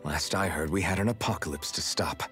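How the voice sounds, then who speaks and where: A man's voice: deep voice, brisk, over music, lightly acted. A man playing an earnest, resolute young hero in a fantasy video game cutscene. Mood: warm, rallying.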